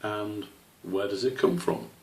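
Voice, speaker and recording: A man voicing an old father squirrel in a children's story, stern but patient, speaking in a measured, gently questioning way. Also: on the low side, level tone, lightly acted. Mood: wise, instructive. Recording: roomy, slightly distant microphone.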